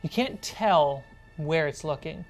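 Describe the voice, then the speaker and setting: Woman, low voice, game master, tabletop narration, music behind. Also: expressive, takes it slowly, a little mellow.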